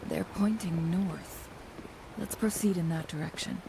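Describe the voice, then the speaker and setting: A woman voicing a composed, knowledgeable young guide in a fantasy video game, speaking in a measured, formal, thoughtful way. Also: lowish voice, gravelly, noisy background. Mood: calm, resolute. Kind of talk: scripted video game voice acting.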